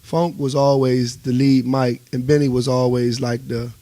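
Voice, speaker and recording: A man's voice: mid-range voice, little pitch movement, normal speed, warm tone, no echo. Laid-back man chatting in a dry studio. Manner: informal, conversational, peppered with "you know". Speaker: interviewed go-go musician. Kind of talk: casual interview chat, reminiscing. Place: radio studio.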